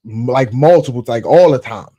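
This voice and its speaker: Male co-host, mid pitch, dry sound, quiet and off-axis, slangy. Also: moderate pace, dry recording. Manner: soft-spoken, often off the mic, slangy. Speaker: podcast co-host. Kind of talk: casual chat. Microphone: off-axis studio mic.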